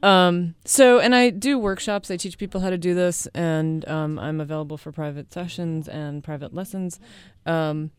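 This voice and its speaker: A woman in her late thirties chatting casually as an interview guest, halting as she explains things. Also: fairly low pitch, clean tone, dry recording. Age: late 30s. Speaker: guest, needle-piercing performance artist and teacher.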